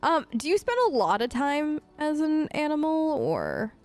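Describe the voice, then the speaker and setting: A woman's voice: sits high, big pitch swings, a little slow, darkish tone, no echo, soft background music. A woman improvising casual in-character chat for a role-play let's play, informal and slangy. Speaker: gamer role-playing her elf character.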